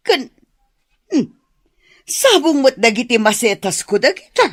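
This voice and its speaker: A woman's voice: fairly high pitch, sing-song, measured pace. An actress playing an easygoing, affectionate mother with an Ilocano accent in a comedy radio drama. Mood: teasing, unbothered.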